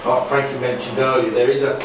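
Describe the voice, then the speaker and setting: A man's voice: medium pitch, level tone, fast. Earnest man speaking in a hall. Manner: hesitant, earnest. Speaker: workshop participant. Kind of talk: personal share. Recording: echoey hall recording from far off, noisy.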